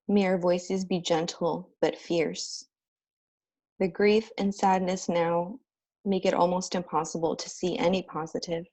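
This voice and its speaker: A woman with a slightly low voice speaks earnestly and reflectively. She delivers a heartfelt ordination address in a ceremonial tone. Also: darkish tone, rough recording. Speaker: ordained minister giving a speech.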